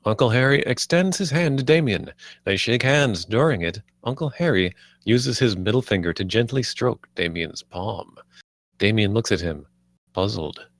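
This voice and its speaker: A man's voice: on the low side, animated, normal speed, no room sound. A man reading scripted stage directions as the narrator of a live screenplay table read.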